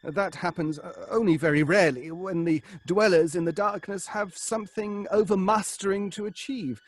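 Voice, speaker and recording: An actor playing an earnest, hesitant gentleman who leads a séance and admonishes others in an old-fashioned radio horror drama. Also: high voice, normal speed. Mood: hesitant, cautioning.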